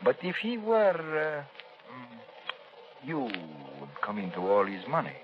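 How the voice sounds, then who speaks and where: Slick plotter doctor, formal n insinuating, lively pitch swings, dull old 30s radio sound. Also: male voice, slow pace. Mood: sly insinuating. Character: smooth scheming foreign revolutionary doctor, a man. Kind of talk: 1930s radio acting. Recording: dull lo-fi 1930s radio broadcast recording.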